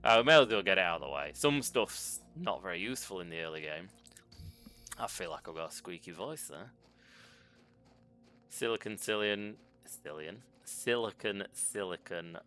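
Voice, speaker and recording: A man's voice: deep voice, animated, moderate pace, sharp tone, clear, resonant tone. A man casually talking through a video game as a channel host, thinking aloud with ums and self-corrections into a desk mic. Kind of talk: casual gameplay commentary. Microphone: desk microphone.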